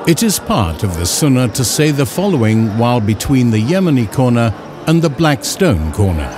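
A man reading scripted instructional narration in a measured, formal, carefully enunciated way. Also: medium pitch, very animated, over music. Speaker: narrator of an educational film.

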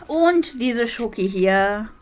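A woman's voice: on the high side, lively intonation, slow, background noise. A woman in her thirties hosting a shopping haul vlog in casual chat. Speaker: vlog host. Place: small indoor room. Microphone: hand-held camera at close range. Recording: music behind the voice. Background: background music.

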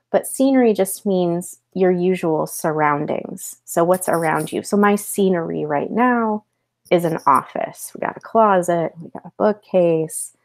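A woman's voice: lowish voice, vocal fry, a little mellow. An American woman in her thirties teaching a read-aloud lesson, explaining things and checking in with questions. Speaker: elementary school teacher. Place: small home office, indoors.

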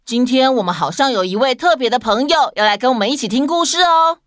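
A woman's voice: high voice, animated, quick pace, a bit bright, dry recording, clean studio sound. A woman hosting a children's story podcast, chatting to young listeners.

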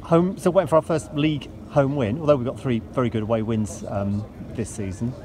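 Man, English accent, interviewer, hesitant, rambling, quick, outdoor noise. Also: medium pitch, steady tone, darkish tone. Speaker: club media interviewer. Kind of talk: post-match interview.

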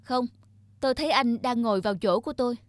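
A woman's voice: fairly high pitch, fast talker, no echo, studio quality, close to an everyday voice. A woman voicing a principled, helpful young female passenger in a cartoon, polite but assertive. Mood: firm. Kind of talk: cartoon character acting.

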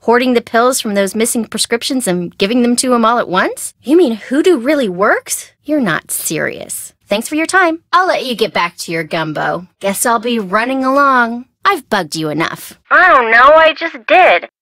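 An American actress playing a perky, curious, chatty young woman amateur sleuth in a video game, with an expressive, girlish manner. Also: on the high side, normal speed, few pauses, no echo, clean studio sound. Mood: chatty, sheepish. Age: young adult. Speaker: voice actor. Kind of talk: video game character dialogue.

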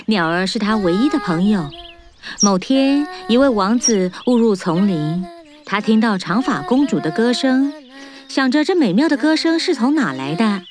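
A woman's voice: on the high side, animated, moderate pace. A woman reading scripted fairy-tale narration for children as a storyteller. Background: music.

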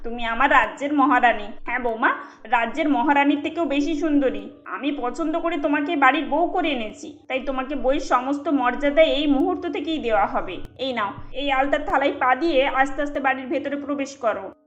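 A woman's voice: sits high, fairly quick, fairly bright tone, dull audio, faint music behind. A woman voicing a haughty middle-aged mother-in-law in an emphatic, melodramatic cartoon style. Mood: gushing, doting. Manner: emphatic, judgemental.

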